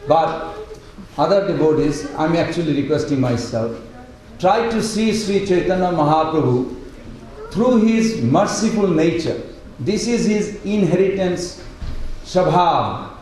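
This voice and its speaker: A man with a loud voice, giving an earnest devotional lecture with many pauses and restarts. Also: fairly high pitch, lively intonation. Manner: earnest, unhurried, with pauses and broken sentences. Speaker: speaker at a devotional study group. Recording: very echoey room, voice far from mic, faint hiss.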